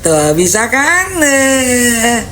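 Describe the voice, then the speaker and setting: A woman's voice: on the high side, very animated, very slow, fairly bright tone, slight background noise. A woman with a Jakarta Indonesian accent chatting casually and bluntly, with some sass, as she answers viewers on a live stream. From Jakarta (Betawi area), Indonesia. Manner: blunt, sassy, colloquial.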